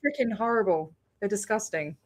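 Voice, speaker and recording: A woman's voice: mid-range voice, moderate pace. Chatty Australian woman on a video call. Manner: rambling, laughs often, many fillers. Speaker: livestream host. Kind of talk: casual video call chat.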